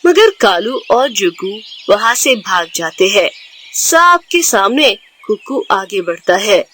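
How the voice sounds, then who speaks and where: A woman's voice: mid-range voice, very animated, slow pace, sharp tone, a little hiss or hum. A woman narrator reading scripted storybook narration for a cartoon tale.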